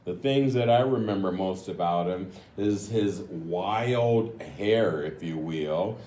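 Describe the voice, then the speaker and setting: A man reading a picture book aloud to children, gently explaining with chatty asides in a roomy, echoing recording. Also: low voice, slow pace. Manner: gentle, explanatory, addressing young listeners.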